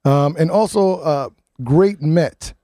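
Man, morning radio host, casual rambling chat, warm tone, dry studio mic. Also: on the high side, takes it slowly, no room sound. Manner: chatty, wanders onto tangents. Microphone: studio microphone.

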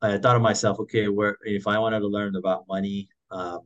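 A man's voice: on the low side, level tone, brisk, a bit bright, muffled recording. A man in his forties from Morocco, telling his career story as an interview guest with many fillers and restarts. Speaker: guest entrepreneur.

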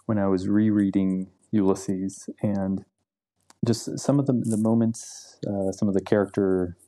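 A man's voice: lowish voice, fairly even intonation, unhurried, warm tone. A young man, a literature professor, answering interview questions in a thoughtful, hesitant way with many ums and repeated words. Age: young adult.

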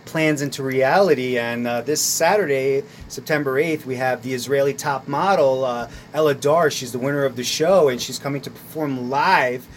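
A man's voice: medium pitch, normal speed, hardly any pauses, slight background noise. A man speaking as a community arts organizer on a radio show, talking with enthusiasm and many "uh" fillers. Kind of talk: casual radio interview.

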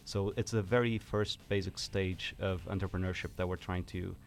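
Give me a young man from Portugal with a very low, flat voice explaining his program on the radio. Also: normal speed. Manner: earnest, explanatory, some hesitation. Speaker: student guest. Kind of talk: radio interview.

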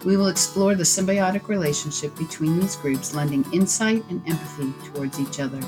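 A woman hosting a podcast, reading a scripted welcome, then chatting with a guest with quick encouraging replies. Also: sits low, over music, poor audio. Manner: upbeat, encouraging, quick affirmations. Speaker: podcast host. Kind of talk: scripted intro then casual interview.

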